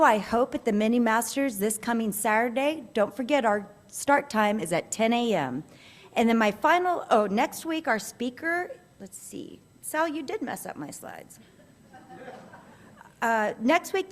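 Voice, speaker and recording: A woman presiding over a club meeting, giving upbeat, brisk announcements. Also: fairly high pitch, expressive.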